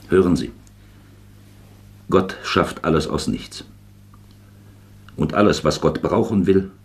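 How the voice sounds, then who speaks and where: Very deep, gravelly, shrewd investigator. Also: male voice, heavily acted. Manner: deliberate, probing, preacherly. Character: shrewd, patient male investigator with religious conviction. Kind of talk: German radio play acting.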